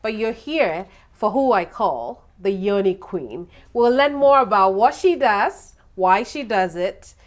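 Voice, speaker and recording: A woman hosting a TV talk show, upbeat and animated. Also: mid-range voice, takes it slowly, hardly any pauses. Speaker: host.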